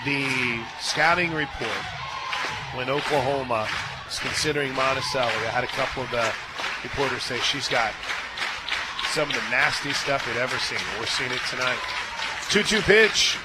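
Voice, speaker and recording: An American man calling a softball game on radio, chatting in a folksy, conversational way and punching up each pitch. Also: moderate pace, over loud music. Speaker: play-by-play announcer. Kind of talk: live softball radio commentary with casual banter. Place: stadium radio booth. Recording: outdoor stadium crowd noise behind broadcast.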